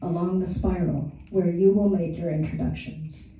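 A woman voicing a solemn, stern alien envoy with slow, measured, formal speech, read aloud in a small gallery. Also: lowish voice, fairly even intonation, mellow, close to an everyday voice. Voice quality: resonant, lucid, rolling. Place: small art gallery, indoors. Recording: echoey gallery from far off.

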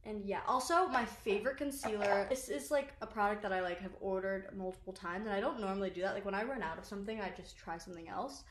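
A woman's voice: mid-range voice, quick pace, hardly any pauses, reverberant, slight background noise. A young woman rambling casually to the camera about her favorite makeup, with lots of "like" fillers and self-deprecating asides. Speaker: social media creator. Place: small bedroom, indoors.

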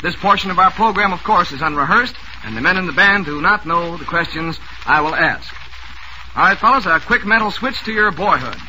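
A man with a high voice runs a 1940s radio quiz show in brisk, genial patter, joking with the band. The old broadcast recording is heavy with hiss. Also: animated, bright, forceful tone, low-quality recording.

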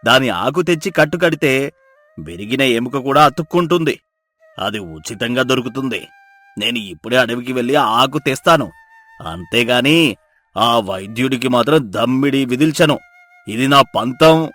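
A man's voice: medium pitch, lively intonation, normal speed, a bit bright, soft background music. A man playing a stubborn, miserly farmer who grumbles and pleads dramatically in a moral story.

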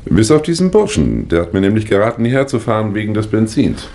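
A cocky, evasive teacher suspect in a vintage radio mystery. His voice is fairly low and swings widely in intonation, with offhand bluster. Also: huge pitch swings, fast, mellow. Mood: indignant, evasive. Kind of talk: 1960s German radio crime drama acting.

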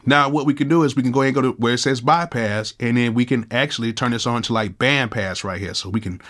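A man in his thirties with a cold and a nasal voice, casually walking through music software in a laid-back, slangy way from his home studio. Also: mid-range voice, fast talker. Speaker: music producer and YouTube host.

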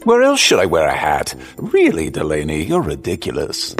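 An actor playing a cheeky, flippant trickster god in mortal form in an audio drama. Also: male voice, a bass voice, huge pitch swings, moderate pace, slightly rough, faint music behind, full character voice. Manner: flippant, playful.

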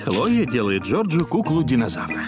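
A man's voice: mid-range voice, huge pitch swings, normal speed, loud music behind the voice. A man narrating a children's cartoon as a scripted storyteller. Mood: explanatory.

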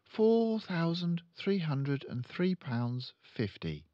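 A man voicing a clever little girl in a storybook, gentle, quiet and matter-of-fact. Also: fairly high pitch, big pitch swings, slow pace, no echo, lo-fi sound, pitched above the performer's own voice. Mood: calm, gentle. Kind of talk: storybook read-aloud character voice.

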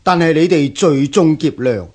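An actor playing a patient, principled prefect in a radio drama, measured and earnest, with a Hong Kong Cantonese accent. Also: male voice, fairly high pitch, darkish tone, husky. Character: patient, principled male scholar-official, a prefect.

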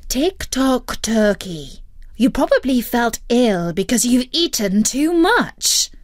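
A woman's voice: a little high, lively intonation, dry recording, studio quality. Woman doing a stern scolding dog. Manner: scolding, deliberate. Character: stern, bossy female dog, a scolding friend. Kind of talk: children's storybook reading.

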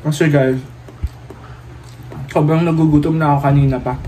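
A chatty Filipino man in his thirties with a voice pitched a bit high for a man. He talks straight into a phone held at arm's length in a small room at home, with faint background noise. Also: normal speed, poor audio. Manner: chatty, animated, laughing asides, mixing English into Filipino. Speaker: vlogger. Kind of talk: casual daily vlog chat. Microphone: phone camera at arm's length.

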